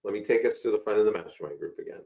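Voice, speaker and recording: A man with a hoarse voice from a cold, hosting a live software webinar and chatting through questions from viewers. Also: fairly low pitch, rapid-fire. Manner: chatty, repeats himself, answers chat questions. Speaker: webinar host. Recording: dull lo-fi call audio.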